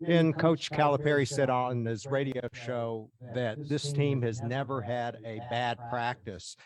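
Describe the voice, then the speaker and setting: A male reporter asks a coach a probing, slightly skeptical question. His pitch is average. Crowd chatter is clearly heard behind his voice. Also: steady tone, measured pace. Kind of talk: press conference question.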